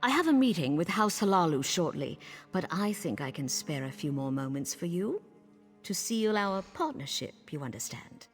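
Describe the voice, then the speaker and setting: A woman's voice: on the low side, sing-song, normal speed, big-room sound. A woman playing a seductive, haughty noblewoman in a video game, with a sultry, condescending, theatrical delivery. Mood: flirtatious. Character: seductive, haughty noblewoman, flirtatious and coldly dismissive. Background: game music.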